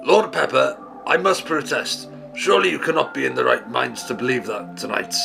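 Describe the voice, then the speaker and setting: A man's voice: medium pitch, moderate pace, gravelly, music in the background. An actor playing a polite, earnest and anxious Welsh father in a radio play. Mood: protesting. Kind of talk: period radio play acting.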